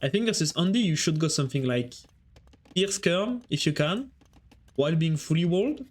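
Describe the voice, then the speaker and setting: A man's voice: on the high side, lively intonation, normal speed. French-accented man casting a strategy game. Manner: chatty, answers chat while casting. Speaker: game caster and streamer. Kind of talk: live stream game commentary.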